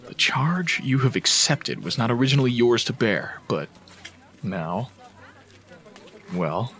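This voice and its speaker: Calm, solemn wise mentor. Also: male voice, mid-range voice. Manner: solemn, reassuring, measured. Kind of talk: fantasy audio drama acting.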